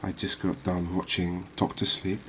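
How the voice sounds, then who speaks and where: A man doing soft-spoken ASMR game commentary in an unhurried, calming, reassuring manner. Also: low-pitched, slight background noise. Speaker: host of a cozy gaming channel.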